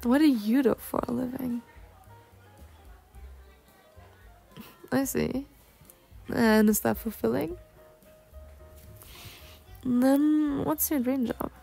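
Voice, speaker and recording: Casting: female performer as bookish, insecure cougar-woman in her twenties, slow-spoken with some vocal fry, courteous and awkward, ASMR date roleplay. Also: sits high, steady tone, dark tone, soft background music, exaggerated voice. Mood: curious. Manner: formal, proper wording with flustered hesitations.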